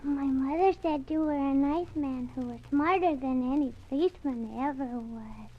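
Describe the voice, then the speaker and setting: A woman's voice: sits high, normal speed, lightly acted. A woman playing a sweet, trusting, stubborn little girl in a 1940s radio drama, innocent and literal in manner. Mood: trusting, insistent. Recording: muffled vintage 1940s radio recording.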